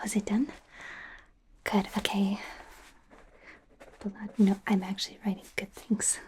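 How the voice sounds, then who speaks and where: Woman playing female werewolf doctor, soft breathy whisper, slow, hesitant and apologetic, ASMR roleplay, faint hiss. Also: mid-range voice, close to an everyday voice. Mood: chatty, reassuring. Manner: hesitant, stammering, self-correcting, apologetic. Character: nervous, friendly young female werewolf doctor in training.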